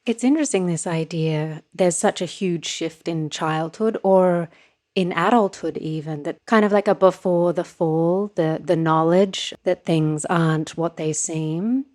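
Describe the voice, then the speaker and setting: A woman hosting a podcast interview, asking measured, admiring questions. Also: on the low side, darkish tone. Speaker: podcast host.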